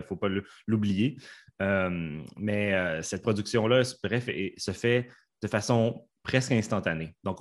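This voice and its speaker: A man from Canada with a Canadian French accent, an interpreter talking in a podcast interview from his home studio, hesitant with many fillers and restarts. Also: on the low side.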